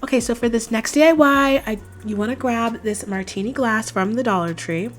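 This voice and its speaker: Slightly hoarse young woman crafting host. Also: on the high side, normal speed. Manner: chatty, relaxed, explains steps plainly. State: slightly hoarse, recovering from a cold. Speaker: DIY craft channel host. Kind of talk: casual step-by-step craft tutorial voice-over. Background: faint background music.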